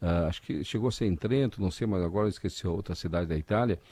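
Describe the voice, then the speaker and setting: A male interviewer in casual conversation, chatty, dropping quick agreements and laughing along. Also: low voice, mellow, no echo.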